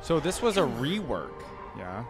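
A man's voice: mid-range voice, very animated, measured pace. A young man giving casual reaction commentary as a video host. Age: young adult. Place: indoor room, seated in gaming chair. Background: game trailer audio and music.

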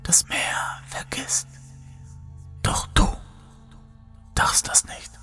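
A man's voice: extremely slow, bright, forceful tone, soft background music. A man whispering as a grieving ghost woman. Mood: mournful.

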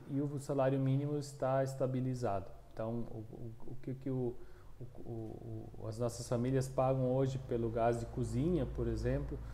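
Halting man with a dark voice. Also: mid-range voice. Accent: southern Brazilian Portuguese. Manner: halting, many restarts and fillers. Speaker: regional union coordinator. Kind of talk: interview answers. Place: small room, plain wall, indoors. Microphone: lapel mic.

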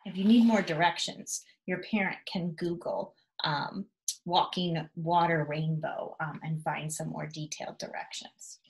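Slow-paced woman teaching young children. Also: low-pitched, fairly even intonation, creaky, low-quality recording. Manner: simple, instructional, addressing children directly. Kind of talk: reading and explaining an activity to young children. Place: small indoor rooms at home, living room and kitchen. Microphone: device camera at about arm's length or a little further.

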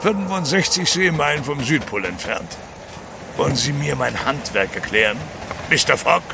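An actor playing a gruff, level-headed ship's captain in a German audio drama, firm and curt. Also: male voice, medium pitch, animated, moderate pace, noisy background. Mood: measured.

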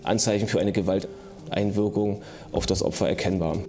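A man, a police investigator, haltingly recounting a case in an interview. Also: low voice, fairly even intonation, music in the background.

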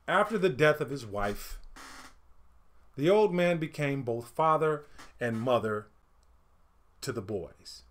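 A middle-aged man reading a picture book aloud as an expressive storyteller, with deliberate, emphatic phrasing that falls away at phrase ends. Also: medium pitch. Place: indoors, small home studio room.

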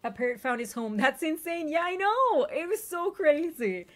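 A woman streamer whose first language is not English, chatting excitedly with raiders and telling stories quickly. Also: sits high, faint music behind. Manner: excitable, bubbly, quick storytelling. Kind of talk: casual stream chat.

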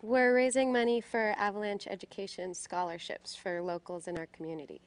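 A woman's voice: medium pitch, level tone, brisk. A young woman from Alaska, a ski patroller, answering questions plainly on a TV studio set. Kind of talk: TV interview answers.

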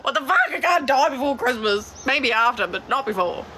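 A blunt Australian woman in her twenties with a naturally deep voice, chatting casually on a live stream from her phone and swearing freely. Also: animated, fairly quick, sharp tone, slight background noise. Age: 20s. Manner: blunt, swears a lot, laughs easily. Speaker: cattle farmer vlogger. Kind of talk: casual live stream chat answering comments. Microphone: phone at arm's length.

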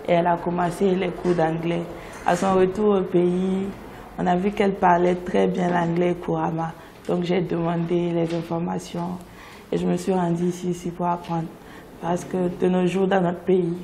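A woman's voice: on the low side, gravelly, dark tone, a little hiss or hum. A woman in her twenties with a Burkinabe accent, giving a testimonial as a language student. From Burkina Faso. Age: 20s. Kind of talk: interview testimonial. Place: classroom.

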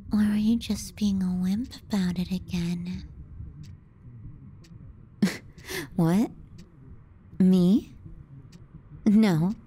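A woman playing a teasing, callous, clingy younger sister in an intimate ASMR roleplay, sardonic and often hushed. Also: medium pitch, lightly acted. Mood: mocking. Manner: sardonic, needling, dropping into hushed murmurs.

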